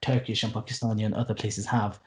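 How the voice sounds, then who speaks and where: Soft-toned man in his late twenties. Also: on the low side, level tone, fast talker. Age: late 20s. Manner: rambling with frequent restarts and fillers, earnest, wry asides. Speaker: podcast guest, Arabic teacher. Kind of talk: casual conversational interview.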